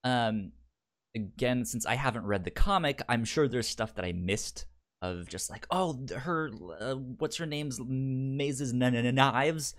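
A man co-hosting a podcast in casual chat, halting and animated, with a frequent stutter on sounds and words. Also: medium pitch. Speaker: podcast co-host.

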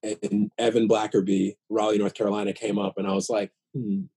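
A man in his late thirties with an American accent speaks in a mellow voice, recorded close and dry. He chats in a sincere, reflective way, often pausing and repeating himself as he thinks. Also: fairly low pitch, flat delivery, slightly rough, no room sound. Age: late 30s. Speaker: podcast guest, new father. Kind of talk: casual heartfelt chat.

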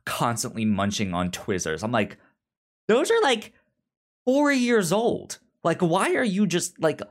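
Male podcast co-host, leisurely and rambling, lively intonation, casual pop-culture banter. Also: fairly high pitch, unhurried. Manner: rambling, repeats words and phrases.

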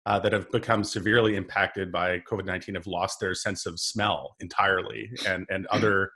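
Male podcast host with a low voice; hesitant, repetitive phrasing. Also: fairly even intonation, sharp tone, slightly rough. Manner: halting, repeats words when asking questions. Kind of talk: interview podcast.

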